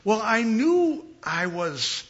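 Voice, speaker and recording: A man from Chicago preaching as a visiting pastor in a church, with a big voice and an unhurried, conversational manner, pausing and repeating phrases for emphasis. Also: high-pitched, big pitch swings, highs cut off. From Chicago, United States. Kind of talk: conversational sermon. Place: church sanctuary.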